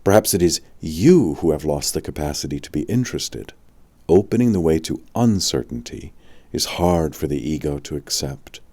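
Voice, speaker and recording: A man narrating a spiritual audiobook in a measured, deliberate scripted style. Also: very deep voice, animated, mellow, no room sound.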